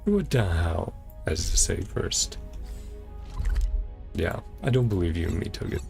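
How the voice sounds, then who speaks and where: A man's voice: sits low, expressive, slow, gravelly, darkish tone, soft background music. A man streaming a game playthrough, reading dialogue aloud and commenting casually in offhand fragments. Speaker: gaming streamer.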